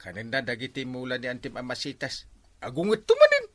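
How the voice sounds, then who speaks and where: A man's voice: mid-range voice, huge pitch swings, fairly quick, no echo. An actor playing a grumbling, admonishing village man in a Filipino radio comedy drama, with an Ilocano accent. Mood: alarmed, grumbling.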